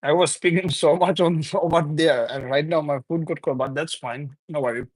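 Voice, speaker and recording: A man's voice: fairly high pitch, fairly even intonation, moderate pace, no room sound. A young man from Bangladesh hosting a casual late-night livestream, chuckling often as he reads viewer comments aloud and banters back. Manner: loose, repetitive, teasing banter. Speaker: livestream host. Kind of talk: casual live chat with viewers.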